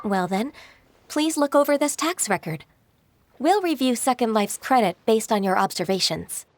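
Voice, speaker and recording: A woman playing a polite, composed young official in a video game, speaking formally and gently. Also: fairly high pitch, animated, normal speed. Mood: businesslike.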